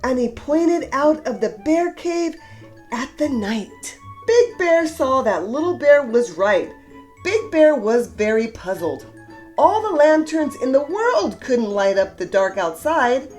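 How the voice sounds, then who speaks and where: A woman reading a children's storybook aloud with deliberate, expressive storytelling emphasis. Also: sits high, faint music behind. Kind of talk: expressive read-aloud narration for young children.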